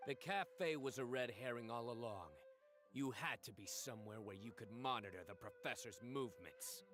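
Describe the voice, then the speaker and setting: An actor playing a stern, composed young lawman in a video game, speaking in a deliberate, accusatory way. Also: medium pitch, fairly bright tone, soft background music, lightly acted. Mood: accusing, stern.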